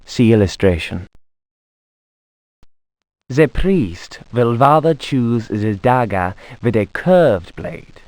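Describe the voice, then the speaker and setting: A man's voice: mid-range voice, lively intonation, takes it slowly, long pauses, darkish tone, dry recording. A learned occult scholar reads a grim treatise for a video game. His delivery is formal and academic, with strongly varied intonation. The recording is dry and close. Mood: grave, scholarly. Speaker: narrator of an in-game book. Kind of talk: scripted dramatic reading.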